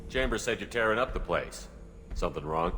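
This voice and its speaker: Game lead: deep-voiced commander, quick and matter-of-fact, steady authority. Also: male voice. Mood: steady, concerned. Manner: matter-of-fact, brief statements. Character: steady, authoritative human starship commander, male. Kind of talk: video game voice acting. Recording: long reverb, faint background noise.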